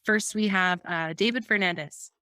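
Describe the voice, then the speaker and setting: A woman's voice: mid-range voice, moderate pace, sharp tone, dry recording, clean studio sound. A woman moderating a webinar as host, talking in a light, easygoing way.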